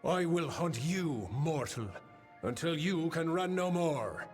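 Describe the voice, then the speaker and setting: A man playing a cursed, tormented and bloodthirsty fey lord of the hunt in a fantasy game, with a halting, menacing delivery. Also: a little high, expressive. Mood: menacing, hungry. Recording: long cavernous reverb, music behind.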